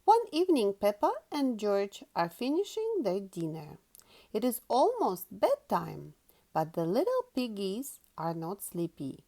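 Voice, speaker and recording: A woman reading a storybook aloud to children in an expressive, sing-song, carefully paced manner. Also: medium pitch, measured pace.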